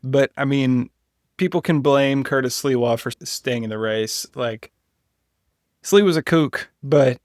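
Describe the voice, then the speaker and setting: A man's voice: medium pitch, measured pace, dry recording, clean studio sound. A man co-hosting a political podcast, talking in a casual, informal and opinionated way.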